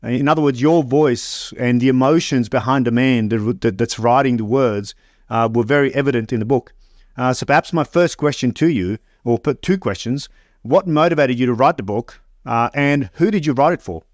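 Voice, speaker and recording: A man hosting an interview podcast, reading an introduction and then asking questions in a measured way, often restarting sentences. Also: medium pitch, no room sound, clean studio sound. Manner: measured, stumbling restarts when unscripted. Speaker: podcast host.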